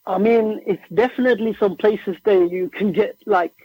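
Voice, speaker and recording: A young man from Norway whose first language is Norwegian, chatting casually as a musician guest on a remote call, relaxed and slangy. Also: sits high, moderate pace, slightly rough, dry recording. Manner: relaxed, slangy, says "bro" and "like" often. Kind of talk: casual interview chat. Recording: tinny phone-like remote line.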